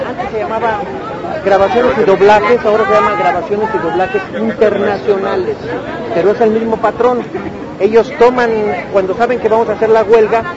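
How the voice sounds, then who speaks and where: Mexican man speaking over a loud crowd. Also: high-pitched, steady tone, normal speed. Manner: earnest, explaining at length. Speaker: striking voice actor. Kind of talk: street protest interview. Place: outdoor street protest. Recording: muffled street recording with loud crowd.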